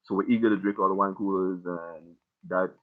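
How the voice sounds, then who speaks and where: A man's voice: low-pitched, fairly even intonation, a little fast. A young man casually breaking down his own song lyrics line by line, rambling and swearing freely. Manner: loose, rambling, heavy casual profanity. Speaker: rapper explaining his lyrics. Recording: tinny phone-like audio.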